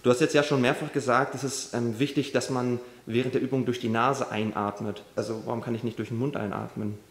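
A man with an ordinary, mid-pitched voice asks short, calm questions and sums up each answer. He speaks off camera in a large hall, and his voice echoes off the room. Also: brisk. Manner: calm, brief follow-up questions. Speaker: interviewer.

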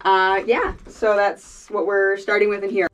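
A woman's voice: mid-range voice, little pitch movement, a little slow, fairly bright tone, soft background music. A woman in her thirties from Canada, explaining things casually to camera as a homesteader. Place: farm coop and yard.

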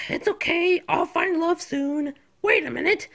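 Casting: woman as dramatic, indignant cartoon critter girl, extremely high and creaky. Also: unhurried, full character voice. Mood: dejected. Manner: loud, indignant, exclaiming.

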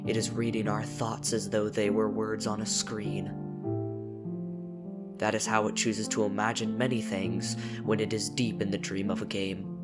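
A man narrating a poem as a dramatic reading, with theatrical delivery and deliberate pauses. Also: lowish voice, little pitch movement. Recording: music behind the voice.

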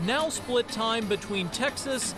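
A man's voice: extremely high pitch, slow, music in the background. A man reporting as a television news correspondent, narrating a scripted feature in a polished voice-over.

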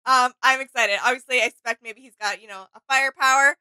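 A woman's voice: high-pitched, fairly even intonation, fast talker, pushed and bright, clear, resonant tone, dry recording, low-quality recording. A woman in her twenties co-hosting a casual, chatty reaction video from a small home studio.

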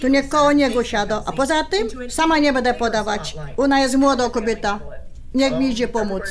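An actress playing a sharp-tongued, pious older mother-in-law with a Polish highlander accent in a radio drama. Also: fairly quick, crowd noise, close to an everyday voice. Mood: scolding, bossy. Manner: scolding, blunt.

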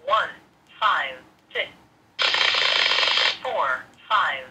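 A synthetic voice prompt of unclear sex, reading out single words and digits flatly through a small radio speaker. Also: medium pitch, drawn-out words, breathy edge. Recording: noisy small speaker with clicks.

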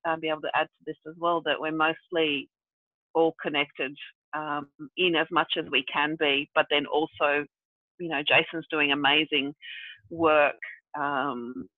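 A woman's voice: low voice, level tone, a little slow, no echo. A woman who grew up in Brazil and South Africa, thinking aloud as a guest on a video call, with many fillers. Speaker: guest, regenerative development practitioner. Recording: tinny phone-like dry audio.

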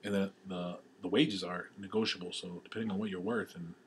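A man co-hosting a podcast at a kitchen table, giving his views in a halting way and repeating words as he goes. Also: sits low, fairly even intonation, slight background noise. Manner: hesitant, repeats words when giving opinions. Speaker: podcast co-host. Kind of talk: casual chat. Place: kitchen table at home, indoors.

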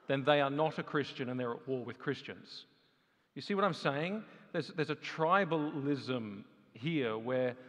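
Thoughtful man speaking in a hall. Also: mid-range voice. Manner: thoughtful, searching for words. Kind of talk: reflective talk in a panel. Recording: long hall reverb.

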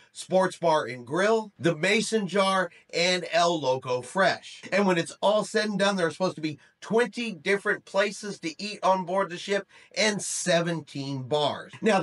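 A middle-aged man with a notably high-pitched voice hosts a news update. He talks straight to the viewer in a conversational style and leans firmly on his key words. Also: fairly bright tone. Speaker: host of a cruise news channel. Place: small room indoors.

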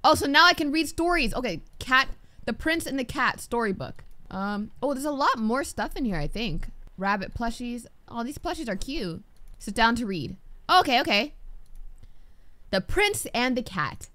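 A woman's voice: on the high side, moderate pace. A young adult woman giving casual, reactive gameplay commentary, talking to the game as she plays and sometimes reading aloud. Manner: animated, reactive, talks to the game. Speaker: gaming streamer.

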